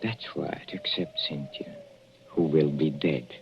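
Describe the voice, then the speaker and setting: An actor playing a gloating, murderous older professor in 1940s radio suspense style, mock-courteous and savoring every hesitation. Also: male voice, extremely low pitch, animated, takes it slowly, a little hiss or hum, full character voice. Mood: gloating, vengeful. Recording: muffled, dull old radio recording.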